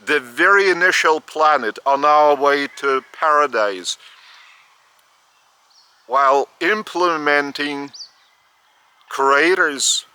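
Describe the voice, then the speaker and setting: Older male spiritual teacher, Lithuanian accent, slow and halting, bright tense tone, outdoors. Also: on the high side, clean tone. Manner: slow, halting, repeats words. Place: outdoors by a school stadium.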